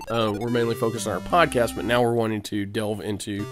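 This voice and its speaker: A man with a cold giving rambling, casual commentary while playing an old video game, often clearing his throat and using fillers. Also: lowish voice, normal speed. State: has a cold. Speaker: let's play host. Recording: game music behind the voice.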